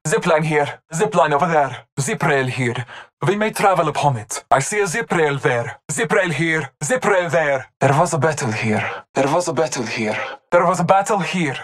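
An actor playing a solemn, devout masked hunter and tracker in a video game, speaking gravely with formal, measured phrasing. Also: mid-range voice, fairly bright tone, natural voice. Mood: alert, terse. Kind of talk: video game character callouts.

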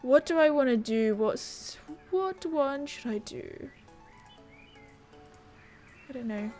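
Young woman, game streamer on a headset, excitable and chatty, a touch higher pitched, soft game music behind. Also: slow. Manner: chatty, reads viewer messages aloud, excitable. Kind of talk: casual livestream chat. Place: home room at a gaming setup.

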